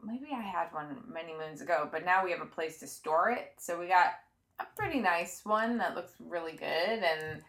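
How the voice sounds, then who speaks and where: Low gravelly-voiced woman co-host. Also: normal speed, fairly bright tone. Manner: easygoing, asks questions. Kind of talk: casual chat.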